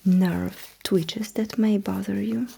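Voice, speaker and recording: Female ASMR roleplayer as a polite, deadpan-witty battlesuit technician; whispered, unhurried delivery with some creak. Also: mid-range voice. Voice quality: breathy whisper. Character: courteous, dryly witty alien mecha technician. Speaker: ASMR performer.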